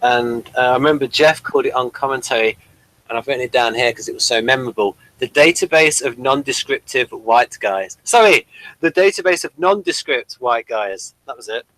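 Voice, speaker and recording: A man with a mid-pitched voice hosts a casual review. He explains details in a halting, conversational way, feeling his way through each point. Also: fairly bright tone, no room sound, rough recording. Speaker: host of a TV review show.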